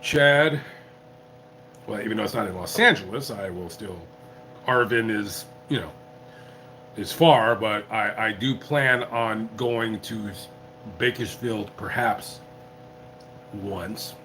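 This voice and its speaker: A tipsy man live-streaming as a YouTuber, chatting casually in a rambling, halting way full of restarts. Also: lowish voice, bright, forceful tone, a little hiss or hum. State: tipsy from drinking. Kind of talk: casual livestream chat.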